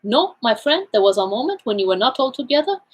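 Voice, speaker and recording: Lady doing a precise belgian detective guy from an audiobook, pitched way up, kinda husky, formal and sure of himself. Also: sing-song, muffled recording. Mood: calm, assured. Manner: deliberate, formal, explanatory. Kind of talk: audiobook character dialogue.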